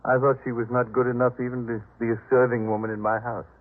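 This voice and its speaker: A man's voice: mid-range voice, level tone, quick pace, lightly acted. A man playing a proud householder, earnest and theatrical, in a 1950s radio drama. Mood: remorseful. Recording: muffled, tinny 1950s radio recording.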